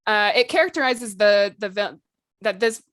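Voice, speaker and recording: A woman's voice: medium pitch, expressive, normal speed, fairly bright tone. A woman co-hosting a podcast, explaining things in an informal, chatty way with lots of casual asides. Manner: chatty, informal, peppers talk with "like" and "you know". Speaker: podcast co-host.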